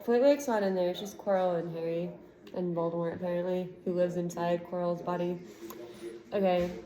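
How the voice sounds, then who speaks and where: A woman reading a chapter book aloud to a child, with expressive narration and relaxed chatty asides. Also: on the low side, fairly quick, rough recording. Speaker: storybook reader.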